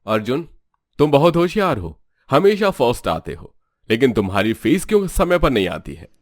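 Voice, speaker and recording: A man's voice: medium pitch, very animated, moderate pace, no room sound, studio quality, lightly acted. A man playing a kindly, encouraging school principal with reassuring authority in a storybook drama. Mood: concerned. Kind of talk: storybook audio drama acting.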